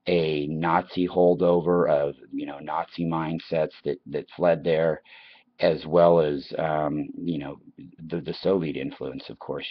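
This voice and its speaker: A man hosting his own video, talking in a musing, unscripted monologue that wanders and halts with trailing asides. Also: very deep voice. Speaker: video host. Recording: dull lo-fi sound.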